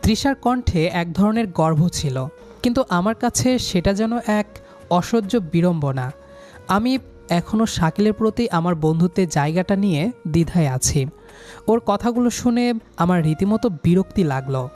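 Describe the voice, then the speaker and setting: A man's voice: sits high, animated, moderate pace, darkish tone, faint music behind. A young man narrating a scripted first-person love story in a dramatic, sentimental manner.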